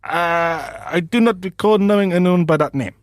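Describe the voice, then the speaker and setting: Man putting on an evasive, high-pitched French baker. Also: normal speed, dry recording, studio quality. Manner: polite, hesitant. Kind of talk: comedic radio drama acting.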